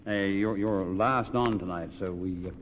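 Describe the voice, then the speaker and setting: A man's voice: deep voice, moderate pace, muffled recording. A man with an Australian accent hosting an old-time radio quiz show, chatty and folksy, bantering and plugging the sponsor. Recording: vintage radio recording, echoey hall, background noise.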